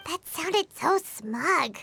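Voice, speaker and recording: A woman voicing a tiny, squeaky, cheeky childlike fairy companion in a video game. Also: lively intonation, very slow, vocal fry, pushed and bright, dry recording, full character voice. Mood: exasperated.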